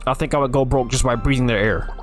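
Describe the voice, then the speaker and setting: A man's voice: medium pitch, steady tone, fast, soft background music. A young man casually reading and joking through a dating game, crude and self-mocking. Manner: jokey, profane, mock-awkward. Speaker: gaming video host. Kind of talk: casual gameplay commentary and reading.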